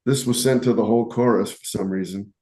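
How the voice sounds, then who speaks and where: A man's voice: lowish voice, steady tone, brisk, mellow. A man who acts and sings in a chorus, telling wry, teasing stories in a casual group discussion. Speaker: cast member and chorus singer.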